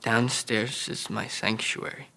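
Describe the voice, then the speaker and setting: An actor playing a troubled, childlike young man recounting abuse in a halting, stammering way at a table read of an audio drama. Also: mid-range voice, fairly even intonation, creaky, bright, forceful tone, full character voice, low-quality recording. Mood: haltingly confessional.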